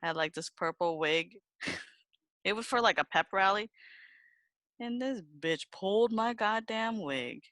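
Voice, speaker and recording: A woman's voice: mid-range voice, expressive, unhurried, long pauses, fairly bright tone, dry recording, clean studio sound. A woman in a casual group chat, telling stories in a slangy, sweary, exclamatory way. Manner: slangy, profane, exclamatory storytelling. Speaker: podcast co-host.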